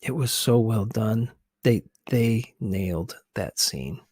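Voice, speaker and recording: A man hosting an ASMR video, giving casual, gently hesitant commentary on film scenes. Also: on the low side, slow pace, slightly rough, no echo, studio quality.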